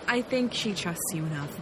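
A woman's voice: mid-range voice, noisy background. Earnest, anxious young alien woman. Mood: pleading. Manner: hesitant, earnest. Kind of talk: science fiction audio drama acting. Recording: loud music under the voice.

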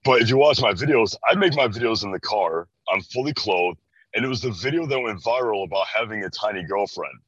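Young American man, early twenties, bright forceful tone, fairly low pitch, casual guest chat, dry dull lo-fi audio. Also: animated, a little fast, husky, no echo. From Chicago, USA. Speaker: interview guest. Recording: dry, slightly dull band-limited audio.

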